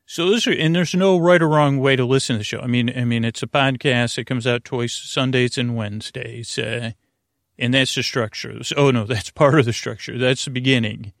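A man with a gravelly, fry-heavy voice hosts a bedtime podcast. He speaks in a halting, meandering way, drifting from one tangent to the next. The sound is dry, with no room around it. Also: mid-range voice, fairly even intonation, a bit bright, dry recording. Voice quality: creaky, gravelly. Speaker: podcast host. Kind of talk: rambling bedtime sleep-podcast monologue.

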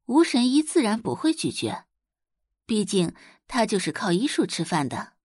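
A woman's voice: mid-range voice, big pitch swings, normal speed, darkish tone, slightly rough, no room sound, studio quality. A woman reading scripted dramatic narration as an audiobook narrator.

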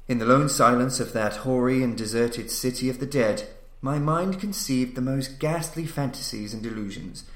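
A man narrates gothic horror in a mid-pitched voice, in a roomy, echoing recording. His delivery is theatrical and solemn, built for suspense like a dramatic audiobook reading. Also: a bit bright. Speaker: narrator, podcast reader.

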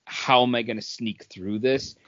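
A man's voice: mid-range voice, fairly even intonation, normal speed, fairly bright tone, no room sound. Man in casual chat, dry muffled sound. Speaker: podcast co-host. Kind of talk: casual pop culture chat.

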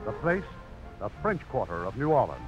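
Animated vintage radio host, muffled recording. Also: male voice, fairly low pitch, faint music behind. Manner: formal, dramatic, deliberate phrasing. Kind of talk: formal 1950s radio presenting and scripted narration.

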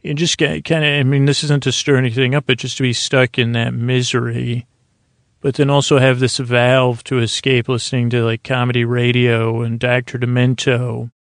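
A middle-aged man with a creaky voice hosts a sleep podcast, giving a gentle, soothing bedtime monologue that meanders, halts and interrupts itself. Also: mid-range voice, flat delivery, moderate pace, no room sound, studio quality.

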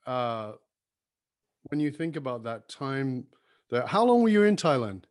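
Male interviewer, encouraging, short prompts, conversational, middle pitch. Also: big pitch swings, measured pace. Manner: encouraging, with frequent brief acknowledgements.

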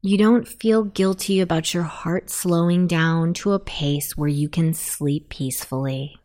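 A woman narrating a guided sleep meditation in a soothing, deliberate manner. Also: on the low side, steady tone, dark tone.

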